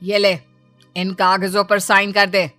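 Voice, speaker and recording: A woman's voice: medium pitch, level tone, measured pace, pushed and bright, soft background music. Woman acting a domineering, theatrical cartoon stepmother. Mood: commanding. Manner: scolding, threatening, theatrical. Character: cruel, scheming middle-aged stepmother, domineering mother-in-law. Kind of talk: dramatic cartoon story dialogue.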